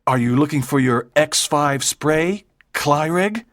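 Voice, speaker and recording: A calm, earnest schoolboy with a slightly lowered voice, as a woman reader plays him. His storybook lines move lively in pitch, recorded close and dry. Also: moderate pace, no echo, full character voice.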